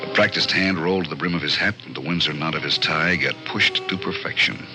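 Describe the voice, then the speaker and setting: An actor playing a grimly cool hard-boiled narrator of an old radio crime story, terse and dramatic. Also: male voice, very deep voice, a little fast. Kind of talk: scripted noir narration, 1940s radio. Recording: old radio recording under loud music.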